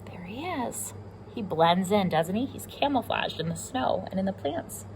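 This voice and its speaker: A woman's voice: mid-range voice, lively intonation, normal speed, noisy background. A woman with an American accent reading a picture book aloud to young children, explaining simply and asking them questions as a pre-K teacher.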